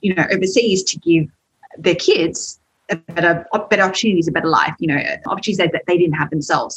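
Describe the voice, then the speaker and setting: Young aussie woman on a podcast, bit husky, chatty, laughs a lot. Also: lowish voice, a bit bright, rough recording. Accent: Australian. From Australia. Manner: chatty, laughs often, quick asides. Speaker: guest. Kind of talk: podcast interview.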